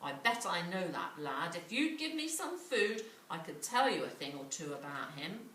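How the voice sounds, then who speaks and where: Bold storybook lad, played by a woman, courteous but cheeky, animated delivery, slightly distant mic. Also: fairly low pitch, moderate pace. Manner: polite, cheeky, eager. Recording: echoey room, distant mic, faint noise.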